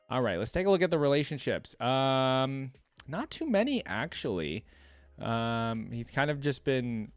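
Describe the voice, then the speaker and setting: A man's voice: lowish voice, no echo. A man reading aloud in the style of a streamer's reaction, with casual, offhand commentary. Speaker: streamer reacting to game lore.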